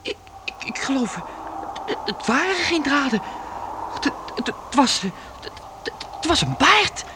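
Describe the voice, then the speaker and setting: A very high, lively young adventurer's voice on a clearly noisy old radio play recording. He is eager and easily startled, pushing out short exclamations and tripping into stammers, with pitch that jumps about. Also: a little slow, long pauses, full character voice. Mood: frightened insistence. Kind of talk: Dutch radio play acting.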